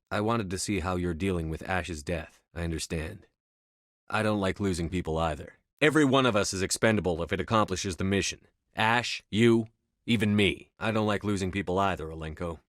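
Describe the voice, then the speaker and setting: Video game military commander: low-pitched man, dry studio sound, resolute, measured, quietly authoritative. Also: no room sound. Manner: measured, firm, clipped military delivery. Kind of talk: scripted video game dialogue.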